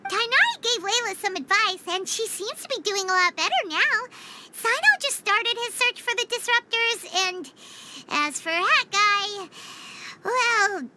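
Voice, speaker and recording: A woman's voice: very high-pitched, animated, measured pace, very noisy. A woman voicing a tiny, childlike fairy companion in a video game, perky and eager. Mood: pondering. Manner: perky, speaks of herself in third person. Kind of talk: voiced video game dialogue.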